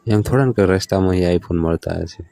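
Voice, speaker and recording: A man's voice: deep voice, brisk, creaky, mellow, no echo, soft background music. A man with a Gujarati accent playing a hard-up village lover who stalls, placates and bargains in a casual phone conversation. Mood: stalling, teasing.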